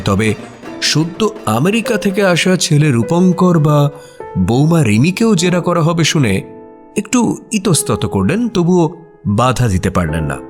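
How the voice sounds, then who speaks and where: A man playing a detective's eager, inquisitive companion who also narrates the audio story expressively. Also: a little high. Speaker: narrator and sidekick character. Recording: music behind the voice.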